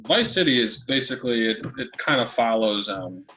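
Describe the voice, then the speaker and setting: Bright-voiced man, emphatic podcast chat. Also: medium pitch, animated, normal speed, muffled recording, slight background noise. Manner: emphatic, repeats himself. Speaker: podcast co-host. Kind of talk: casual chat among friends.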